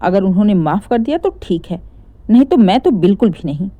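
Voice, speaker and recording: Woman voicing a stern, sarcastic mother-in-law, very animated. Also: medium pitch, brisk, no room sound, narrow, tinny audio. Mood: scolding, stern. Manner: scolding, pointed rhetorical questions. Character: stern, sarcastic middle-aged Indian mother-in-law, righteously indignant.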